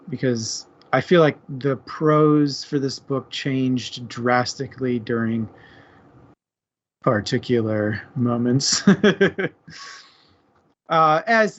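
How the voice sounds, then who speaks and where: A man's voice: mid-range voice, lo-fi sound. A man co-hosting a casual book discussion, speaking informally and loosely.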